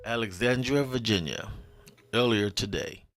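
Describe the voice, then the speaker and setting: A male announcer in an audio drama, voiced by an actor, speaking fairly low. He delivers short, declarative captions. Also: measured pace, music in the background, close to an everyday voice. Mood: stark. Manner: terse, declarative. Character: male announcer giving terse scene captions. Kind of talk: scripted audio drama narration.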